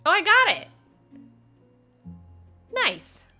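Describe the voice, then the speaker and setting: A woman game streamer reacting casually to a playthrough, playful and expressive, half talking to herself. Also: very high voice, very slow, faint music behind.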